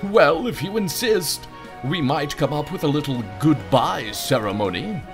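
A man voicing a gruff, dismissive old male ship captain for a cartoonish adventure game. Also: a little high, animated, measured pace, music in the background.

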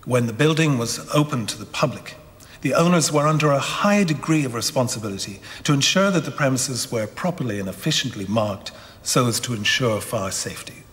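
A middle-aged actor playing a solemn tribunal official formally reading out findings in a television drama, measured in manner. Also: male voice, mid-range voice, lively intonation, a bit bright, natural voice. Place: large courtroom-like hall, indoors. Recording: reverberant large room.

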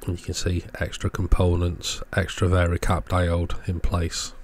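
A man's voice: low-pitched, monotone, unhurried, a little hiss or hum. A man giving an informal technical walkthrough as host of an electronics repair video.

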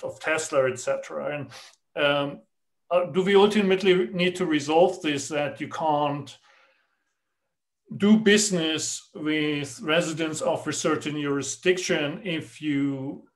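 A man in his fifties moderating an online panel, talking in halting bursts with many restarts. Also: on the high side, a bit bright. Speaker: meetup moderator. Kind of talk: panel discussion over video call. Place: plain room indoors. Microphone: webcam or laptop mic on video call.

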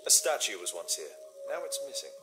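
An actor playing a composed Victorian master detective in a video game, formal, clipped and coolly inquisitive. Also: male voice, on the low side, sing-song, fairly quick, over music. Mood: analytical. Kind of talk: video game dramatic acting.

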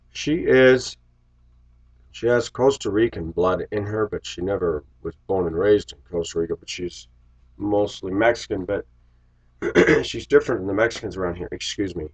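A man's voice: on the low side, unhurried, pauses often, slightly rough. An American man in his forties, congested from a cold, talking reflectively and casually to viewers in a rambling confessional vlog. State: congested, getting over a cold. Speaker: vlogger. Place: small indoor room with shelves. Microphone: webcam microphone at desk distance. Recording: dull lo-fi webcam audio.

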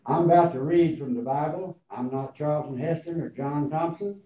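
A man in his eighties with a raspy, tender voice, preaching and slowly reading scripture to a congregation. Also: on the high side, a little hiss or hum. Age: 80s. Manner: slow, deliberate, halting reading. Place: large church hall before a seated congregation. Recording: roomy, distant, dull hall sound.

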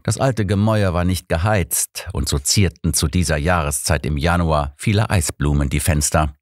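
Male narrator for a scripted audiobook, low register, dry close sound. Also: normal speed, no echo.

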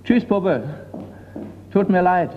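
An actor playing a blunt, sardonic, wary forgery expert in a radio crime drama. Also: male voice, high voice, slow. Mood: irritated, sarcastic. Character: gruff, wary museum craftsman and forgery expert, a man. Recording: dull, noisy radio play recording.

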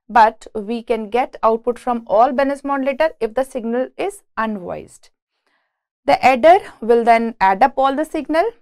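A woman's voice: fairly high pitch, no echo, clean studio sound. A woman with an Indian accent giving an engineering lecture, reading and explaining slides. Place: indoor lecture room at a presentation board.

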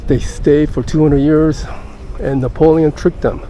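An older man giving casual walking-tour narration as a travel vlogger, halting and pausing mid-sentence. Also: a little high, steady tone, warm tone. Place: outdoors in busy city streets and squares. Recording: outdoor city street noise.

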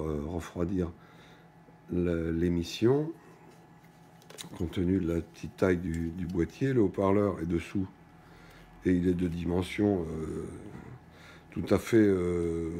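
A middle-aged man giving an unscripted hands-on product demonstration, speaking in halting, stop-and-go phrases with trailing pauses. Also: low voice, mellow, a little hiss or hum. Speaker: product presenter. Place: indoors at a wooden table in a small shop or office.

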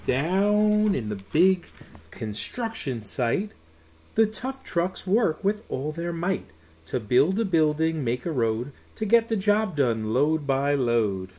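Middle-aged male storyteller for children's picture book: slightly high voice, very lively sing-song delivery, drawn-out words. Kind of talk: expressive read-aloud of a rhyming children's bedtime story. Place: small room at home.

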